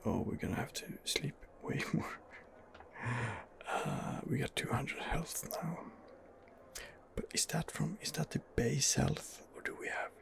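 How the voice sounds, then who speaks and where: A man softly narrating a video game in ASMR style, musing hesitantly as he thinks aloud. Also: medium pitch, animated, measured pace, creaky. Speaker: gamer narrating a role-playing game playthrough. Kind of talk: whispered ASMR game commentary.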